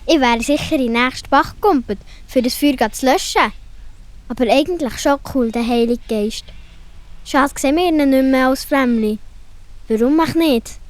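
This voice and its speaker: A woman's voice: high-pitched, normal speed, natural voice. A woman playing a cheerful, curious young animal friend in a children's audio story, with a Swiss German accent and a playful, childlike manner.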